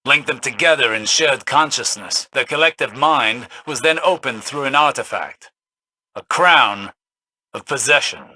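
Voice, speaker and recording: Stern, forceful veteran leader. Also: male voice, mid-range voice, rough recording. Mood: solemn, probing. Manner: measured, solemn. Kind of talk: dramatic game dialogue.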